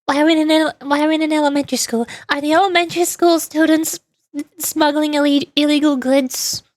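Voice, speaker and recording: A woman's voice: high-pitched, steady tone, fast, no echo, studio quality. A woman livestreaming a game, chatting casually as she plays. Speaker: vtuber streamer. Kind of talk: casual game stream chat.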